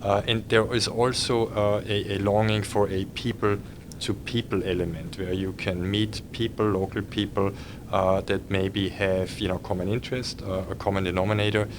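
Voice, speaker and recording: A middle-aged man with an Austrian accent talks in a low, fairly flat voice. He halts often, filling gaps with uhs and restarting his sentences. A steady hum sits underneath the recording. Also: normal speed. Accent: Austrian German. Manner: hesitant, many fillers and restarts. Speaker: cruise line executive. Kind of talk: podcast conversation.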